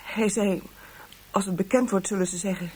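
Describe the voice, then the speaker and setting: A woman's voice: medium pitch, fairly even intonation, moderate pace, darkish tone, close to an everyday voice. A woman playing a guarded, defensive young witness in a radio play. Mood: reluctant, confiding. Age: young adult. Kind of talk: radio drama acting. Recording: noisy radio play recording.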